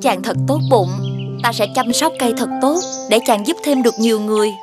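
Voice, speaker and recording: A woman playing a devoted young lady of a rich family in an animated folk tale, sweet, earnest and polite. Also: fairly high pitch, fairly quick, music in the background, natural voice. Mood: tender. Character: gentle, devoted young rich man's daughter turned wife.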